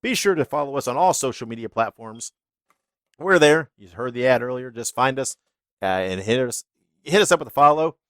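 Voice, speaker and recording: A man's voice: mid-range voice, animated, a little fast, dry recording, studio quality. Stuffy-voiced man hosting a podcast. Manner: chatty, laughs, fillers. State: stuffy, congested. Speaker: podcast host. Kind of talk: casual podcast outro.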